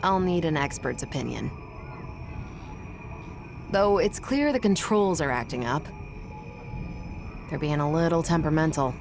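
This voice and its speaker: A woman's voice: deep voice, lively intonation, a little slow, long pauses. A woman playing a confident, blunt young female test pilot in a video game cutscene, with a casual, matter-of-fact delivery. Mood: thoughtful, breezy. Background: music.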